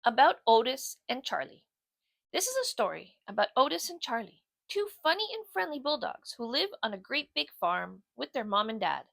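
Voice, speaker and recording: A woman narrates a children's picture book with very animated intonation. Her voice sits slightly high with a creaky edge, and she takes her time over each phrase in an expressive storytelling manner. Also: moderate pace, dry recording.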